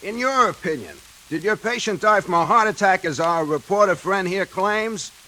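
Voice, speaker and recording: A man's voice: high-pitched, normal speed, pushed and bright. An American man playing a brusque, self-important police lieutenant in a 1940s radio crime drama. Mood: suspicious, commanding. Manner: brusque, bossy. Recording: vintage 1940s radio, rain behind.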